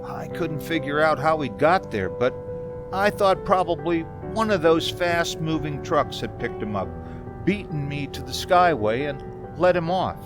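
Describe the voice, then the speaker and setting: A man acts out a haunted motorist in an old-time radio play. His voice is slightly high and delivered very slowly, with dramatic swings and nervous hesitations. Music plays clearly behind him. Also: animated. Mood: uneasy, brooding. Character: uneasy, haunted male motorist recounting a strange journey. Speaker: narrator and lead actor.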